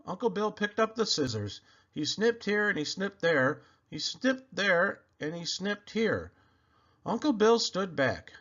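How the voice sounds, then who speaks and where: A man reading a children's picture book aloud in an expressive, animated and deliberate storytelling manner. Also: sits high, dull audio.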